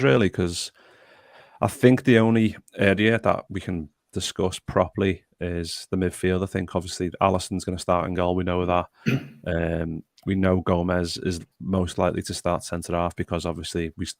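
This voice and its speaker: A man's voice: low-pitched, flat delivery, moderate pace, no echo, clean studio sound. A young man hosting a casual podcast chat and reading out viewer comments. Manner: chatty, reads out viewer comments. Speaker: podcast host.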